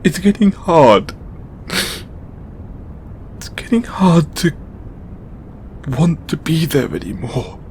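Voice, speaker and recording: Slow, anguished young-sounding god, acted by a man. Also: a little high, sing-song, darkish tone, slight background noise. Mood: weary, tearful. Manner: halting, emotional, earnest pleading. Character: kind, overburdened male god, anguished and benevolent. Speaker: audio roleplay voice actor. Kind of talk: intimate dramatic audio roleplay monologue.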